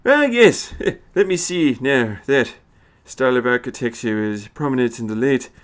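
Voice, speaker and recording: A man's voice: medium pitch, expressive, unhurried, lightly acted. A man voicing an eccentric, absent-minded old time traveller who muses hesitantly with hums and little chuckles in an audio story. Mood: musing, puzzled. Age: older.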